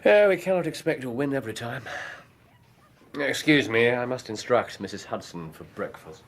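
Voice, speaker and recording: An actor playing a theatrical, teasing English detective in a radio drama, husky, savouring his pauses and flourishes. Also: medium pitch, lively intonation. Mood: grave, subdued. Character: theatrical, teasing English male detective, self-satisfied and playful.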